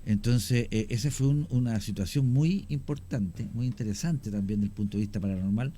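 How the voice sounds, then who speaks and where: Halting man telling a story. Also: on the low side, warm tone. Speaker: guest, paranormal investigator. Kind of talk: radio talk show storytelling.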